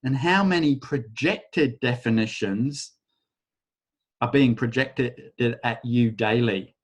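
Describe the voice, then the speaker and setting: A man leading a live online workshop over a video call, talking in a casual, rambling way and softly chanting a repeated phrase. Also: medium pitch, slow, husky. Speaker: workshop facilitator.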